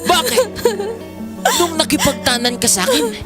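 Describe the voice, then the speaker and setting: An actor playing a cruel, bitter husband in a melodramatic audio drama, scornful, taunting and emphatic. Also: male voice, very high voice, very animated, moderate pace. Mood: bitter, accusing. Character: bitter, cruel, contemptuous husband, quick to anger. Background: prominent dramatic music.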